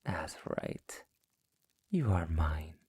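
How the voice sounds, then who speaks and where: A man playing a sadistic, possessive immortal vampire master in an intimate ASMR roleplay, taunting theatrically in a breathy, gravelly voice that often nears a whisper. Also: a bass voice, huge pitch swings, extremely slow, darkish tone, exaggerated voice. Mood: mock tender, possessive.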